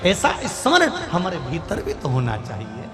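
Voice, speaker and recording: An Indian man preaches to a big crowd in a fairly high voice that swells and drops, and he keeps pressing the listeners to answer him. The sound rings with long reverb from the hall. Devotional music plays clearly underneath. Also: huge pitch swings, measured pace. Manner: rises and falls, urges the crowd to answer back. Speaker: preacher telling a Shiva scripture story. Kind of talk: devotional sermon to a large crowd. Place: large venue with a crowd and speakers.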